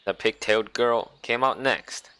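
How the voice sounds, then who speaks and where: A young man reading a visual novel aloud, with playful, sarcastic asides and mock-dramatic slowdowns. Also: mid-range voice, fairly even intonation, bright, forceful tone, smooth. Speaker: game streamer.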